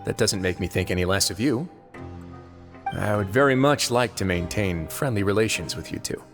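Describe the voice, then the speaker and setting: An actor playing a composed, wry, self-assured duke who rules a prison fortress, in measured video game dialogue. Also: male voice, lowish voice, animated. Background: background music.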